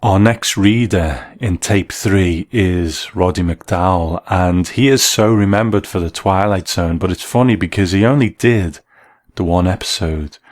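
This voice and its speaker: Low-voiced man presenting a commentary. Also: a little slow. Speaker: host and reviewer. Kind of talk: scripted video essay commentary.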